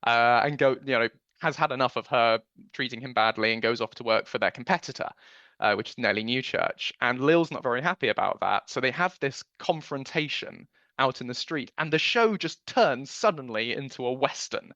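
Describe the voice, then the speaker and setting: A man's voice: mid-range voice, moderate pace, bright, forceful tone, no echo, highs cut off. A man giving chatty, enthusiastic interview answers as a producer, recounting memories in a conversational way.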